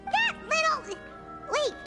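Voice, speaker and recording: A woman voicing a tiny, excitable, childlike girl companion in a video game, squeaky and exclamatory. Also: slow, loud music behind the voice, full character voice. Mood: indignant, suspicious. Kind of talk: animated video game voice acting.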